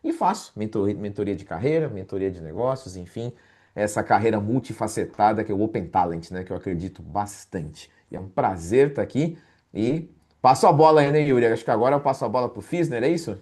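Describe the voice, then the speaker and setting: A man in his fifties with a fairly low voice. He introduces himself at length on a video panel, listing one item after another with plenty of fillers. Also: expressive, a little mellow. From São Paulo, Brazil. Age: 50s. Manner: fast, wordy listing with many fillers. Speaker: executive, board advisor and mentor. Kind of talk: self-introduction on a live panel. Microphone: video call.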